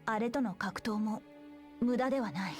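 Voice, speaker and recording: A woman's voice: on the high side, quick pace, faint music behind, lightly acted. A woman playing a stern, proud, stubborn young soldier in a Japanese video game, speaking in curt, serious phrases.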